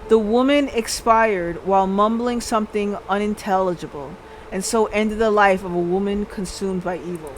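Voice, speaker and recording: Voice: mid-range voice, normal speed, a little hiss or hum, poor audio. A game streamer reading a visual novel aloud as dramatic narration, sometimes stumbling and rereading.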